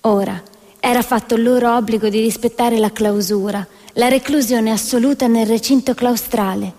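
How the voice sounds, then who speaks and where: A woman's voice: a little high, fairly even intonation, moderate pace, mellow. Solemn woman narrator in a reverberant hall. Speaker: solo stage actress narrating. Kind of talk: scripted theatrical narration.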